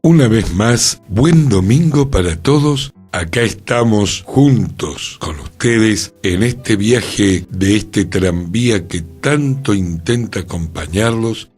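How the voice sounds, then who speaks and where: A man with a Rioplatense accent hosting a radio show, greeting listeners and co-hosts in an unhurried, friendly way. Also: lowish voice, lively intonation, darkish tone, breathy edge, faint music behind. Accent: Rioplatense Spanish. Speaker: radio host. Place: radio studio.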